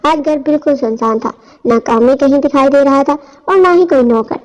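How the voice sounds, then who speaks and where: A woman's voice: high voice, normal speed. A woman reading a scripted first-person story as its narrator. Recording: dull, lo-fi audio.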